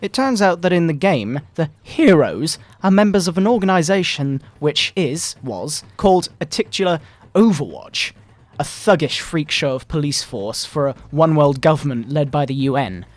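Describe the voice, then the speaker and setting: Man putting on a pompous, high-pitched fire-and-brimstone moral crusader. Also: expressive, no room sound, lightly acted. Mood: indignant, preachy. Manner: pompous, melodramatic preaching with drawn-out words. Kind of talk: mock-dramatic satirical reading.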